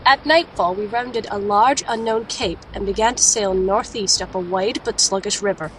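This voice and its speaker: A woman playing a resolute, thoughtful alchemist warrior who narrates an audio drama in a measured, serious way. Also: mid-range voice, fairly bright tone, a little hiss or hum, natural voice, poor audio. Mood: brooding. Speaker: lead character and narrator. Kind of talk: audio drama dialogue and reflective first-person narration.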